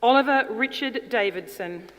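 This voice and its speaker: A woman formally announcing graduates' names at a ceremony, in a measured, deliberate delivery. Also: a little high. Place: large indoor ceremony hall. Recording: reverberant hall, audience noise, clear background noise. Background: audience crowd noise.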